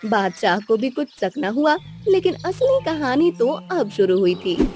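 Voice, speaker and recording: Lively high-pitched woman storyteller. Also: a little slow, a little hiss or hum. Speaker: story narrator. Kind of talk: scripted children's story narration.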